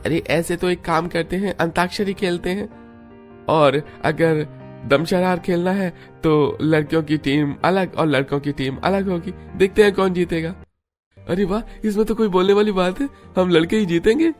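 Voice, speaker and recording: A man voicing a confident, upbeat fellow in an animated village story. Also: high-pitched, normal speed, soft background music, close to an everyday voice. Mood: playful.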